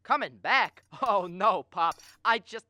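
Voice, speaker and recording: A young woman acting an earnest, restless young man in a radio drama, with halting, stammered hesitations. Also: medium pitch, lively intonation, bright, forceful tone, a little hiss or hum. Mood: eager. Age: young adult. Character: restless, eager young man dreaming of big things. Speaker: student actor.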